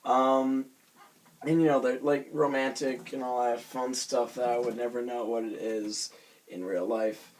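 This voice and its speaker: A hoarse young man with a raspy voice, rambling casually through a self-conscious vlog with many fillers and restarts. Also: mid-range voice, steady tone, unhurried, low-quality recording. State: hoarse from screaming. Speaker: vlogger reviewing a cartoon episode.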